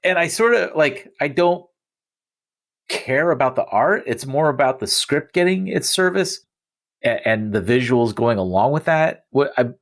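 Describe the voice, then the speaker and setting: A man's voice: mid-range voice, expressive, unhurried. A man hosting a podcast in a casual, conversational way with joking asides. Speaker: podcast host. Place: remote recording.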